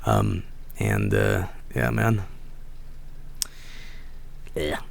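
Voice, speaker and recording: A hoarse man, chatting casually on a stream, rambling with many restarts and soft asides. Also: very deep voice, sing-song, drawn-out words. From Sweden.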